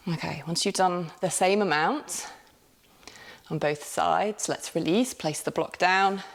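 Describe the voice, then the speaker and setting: A woman leads a yoga class in a low, slightly gravelly voice. She gives calm, step-by-step cues, breaks into light laughs now and then, and corrects herself mid-sentence. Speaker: yoga teacher. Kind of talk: guided yoga class instruction.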